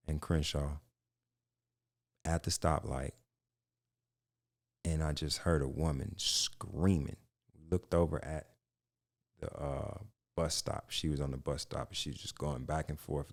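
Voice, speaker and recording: American male podcast host, very low voice, slangy storyteller who talks in short unhurried phrases. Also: vocal fry, a little mellow. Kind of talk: casual storytelling chat.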